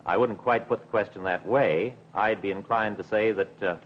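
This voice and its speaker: A middle-aged man, a broadcasting official, answering in a measured, careful, formal way with some hesitation in a 1950s television interview. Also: on the low side. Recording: dull 1950s television recording with hum.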